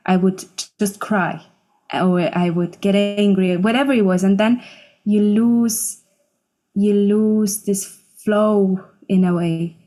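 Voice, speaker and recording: A singer-songwriter in her twenties speaks as a guest in a casual interview. She is a German speaker. Her voice is soft-edged and dark, at an average pitch for a woman, and she talks reflectively. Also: fairly even intonation, takes it slowly. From Switzerland. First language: Swiss German. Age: 20s.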